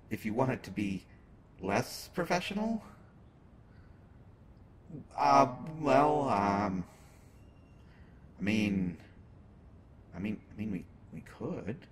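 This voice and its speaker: A man playing a flirtatious, teasing superhero who is secretly smitten, in a halting, playful conversational way for a roleplay audio drama. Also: medium pitch, slight background noise. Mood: flustered, shy. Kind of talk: roleplay audio drama, acted monologue to listener.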